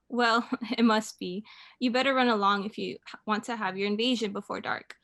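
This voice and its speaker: A young woman playing a patient, indulgent mother in a radio play, gently chiding and mildly amused. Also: mid-range voice, steady tone, normal speed, creaky.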